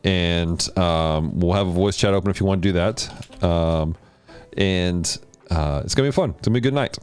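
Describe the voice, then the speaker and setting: A man hosting a livestream of video games and Bible study, chatting casually with viewers and teaching in an animated way. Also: normal speed, smooth. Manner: animated, jumps in pitch when greeting chat. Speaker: host of a gaming and Bible study stream.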